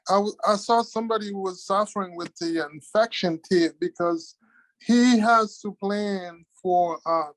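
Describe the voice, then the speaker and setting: A man's voice: sits high, no room sound, rough recording. Hesitant Haitian-accented man on a call. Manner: hesitant, searching for words, many fillers. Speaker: webinar participant asking a question. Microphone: video call.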